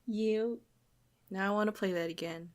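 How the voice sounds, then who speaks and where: A woman's voice: mid-range voice, moderate pace. A woman co-hosting a podcast, chatting casually about games in an informal, giggly way. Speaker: podcast co-host.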